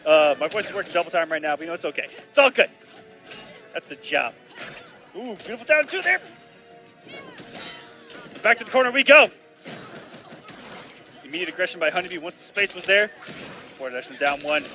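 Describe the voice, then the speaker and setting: A man doing live play-by-play commentary on a fighting game, excitable and bursting loud on big plays, his voice slightly raspy from long casting. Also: high-pitched, fairly quick, long pauses, faint music behind. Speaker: esports commentator.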